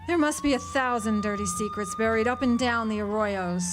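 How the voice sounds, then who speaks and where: A woman acts out a defiant small-town woman weighed down by guilt. Her voice sits on the high side, and she confesses earnestly, with the dramatic delivery of a period radio play. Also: moderate pace, noisy background, natural voice. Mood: bitter. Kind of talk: radio drama acting, 1940s setting. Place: live amateur radio drama performance at an amphitheater.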